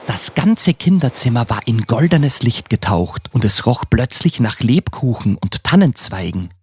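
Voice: mid-range voice, a little mellow, husky. A young school-age child narrating a children's Christmas radio play in a scripted storybook style. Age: child, about ten.

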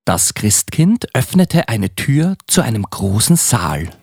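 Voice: mid-range voice, very animated, takes it slowly, vocal fry, darkish tone, breathy edge, dry recording. A narrator reading scripted storybook narration for a Christmas radio play.

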